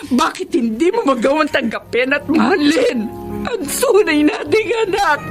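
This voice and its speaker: A passionate, stern middle-aged mother with a high voice that swings widely. She is emphatic and confrontational in the full dramatic manner of radio soap. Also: expressive, normal speed, loud music behind the voice. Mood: anguished reproach. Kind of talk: Tagalog radio drama acting.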